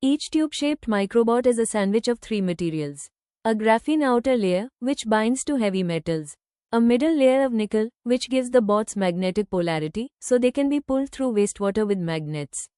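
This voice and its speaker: A woman reading scripted practice passages aloud in a measured, carefully phrased way. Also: fairly high pitch, lively intonation, dark tone, clear, resonant tone, no echo, studio quality. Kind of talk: scripted read-aloud narration.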